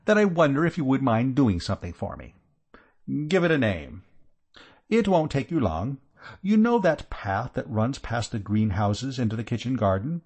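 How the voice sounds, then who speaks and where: A man voicing an irate, sharp-tongued aristocratic aunt in an audiobook, scolding with scathing exasperation. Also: mid-range voice, sing-song, moderate pace, pitched above the performer's own voice. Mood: sardonic. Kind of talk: audiobook character voice.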